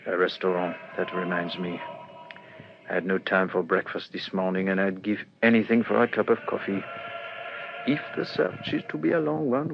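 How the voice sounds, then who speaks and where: An actor playing a shrewd, self-assured police detective in a radio crime drama, with a theatrical delivery. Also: male voice, lowish voice, vocal fry. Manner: theatrical, musing then demanding. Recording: dull old radio recording, faint hiss.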